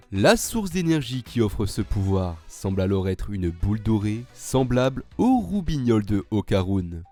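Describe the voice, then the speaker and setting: A man's voice: mid-range voice, dark tone. A man presenting a video with scripted narration.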